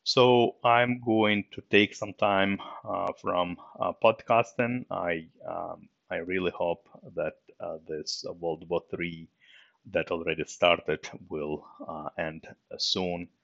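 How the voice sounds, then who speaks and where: A middle-aged man from Russia with a Russian accent, hosting a solo podcast episode, speaking haltingly with many fillers in a subdued way. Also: low voice, steady tone, gravelly, a bit bright, dry recording, dull audio. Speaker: podcast host.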